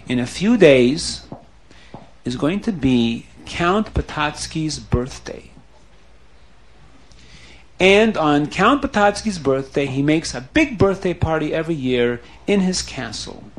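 A man doing a calm, wise old rabbi. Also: a little high, takes it slowly. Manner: calm, assured, instructive.

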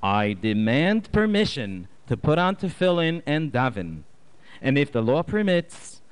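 Man doing a dignified rabbi, firm demanding tone, clear voice, fairly high. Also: very animated, a little slow.

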